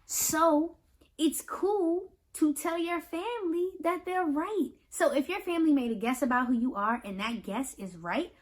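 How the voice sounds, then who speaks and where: American woman, children's read-aloud host, high voice, expressive and affirming, speaks directly to kids. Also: unhurried. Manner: affirming, reassuring, speaks directly to young listeners; expressive, repeats phrases for emphasis. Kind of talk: picture book read-aloud with talk to children.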